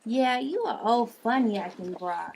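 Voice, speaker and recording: A woman as a creaky-voiced brash friend, noisy recording. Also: on the high side, expressive, a little slow, darkish tone. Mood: teasing. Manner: slangy banter. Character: brash, teasing party-loving friend. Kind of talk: script table read acting.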